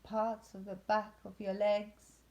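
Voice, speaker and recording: A woman leading a guided yoga nidra meditation in a soothing, unhurried way with long pauses. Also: mid-range voice, flat delivery, mellow, lo-fi sound.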